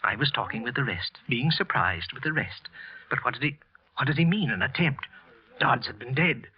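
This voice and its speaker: A man acting a guilt-ridden, jittery office worker confessing to murder in halting, tense phrases, in the narrated style of 1940s radio drama. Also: medium pitch, lively intonation, natural voice. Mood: shaken, fearful. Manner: halting, tense, broken phrases with repeated words. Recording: dull old-time radio recording with music.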